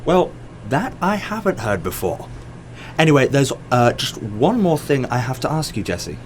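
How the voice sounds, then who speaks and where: An actor playing a mysterious boss in an audio drama, probing and composed, speaking with measured, deliberate pauses. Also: male voice, mid-range voice, sing-song, slight background noise, close to an everyday voice. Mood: quietly ominous.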